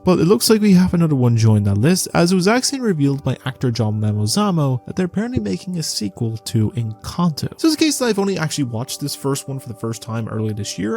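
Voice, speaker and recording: Man, news host, quick talker, lively up-and-down delivery, opinionated, soft music underneath. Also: medium pitch. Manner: opinionated, speaks to viewers directly. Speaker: host of a weekly media news show. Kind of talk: casual entertainment news commentary.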